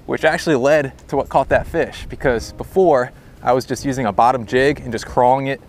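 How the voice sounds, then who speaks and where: A man's voice: mid-range voice, steady tone, fairly quick, a little hiss or hum. A young American man chatting casually and informally to camera as a fishing vlogger outdoors. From United States. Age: young adult. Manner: easygoing, informal. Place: outdoors by a pond, windy.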